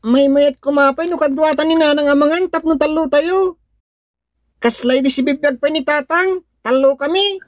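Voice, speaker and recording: A woman's voice: high-pitched, fairly even intonation, normal speed, no room sound, close to an everyday voice. A woman with an Ilocano accent voicing a sweet, eager little boy in an audio drama. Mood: sweet, chatty. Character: sweet, eager little boy who misses his father. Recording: clinking dishes and background noise.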